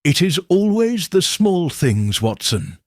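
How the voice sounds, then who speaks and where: A man voicing a shrewd, calm Victorian detective who reasons in a measured, precise way, in a husky voice. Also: fairly high pitch, no echo, studio quality, voice pushed up.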